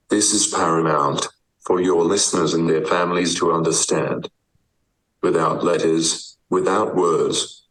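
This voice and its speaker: Deep, slow synthetic Australian male voice. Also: a bit bright, roomy sound, distant mic, low-quality recording. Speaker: guest, advocate. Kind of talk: typed text read aloud by speech software.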